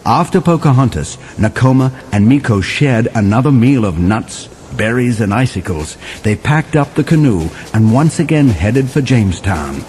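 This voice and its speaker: A man plays a settler telling a children's tale of winter adventure. His mid-pitched voice swings widely and lingers on dramatic pauses, with music playing behind him. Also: lightly acted. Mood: wondering. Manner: animated, vivid storytelling with dramatic pauses. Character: male settler storyteller recounting a winter adventure to John. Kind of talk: dramatic children's story narration.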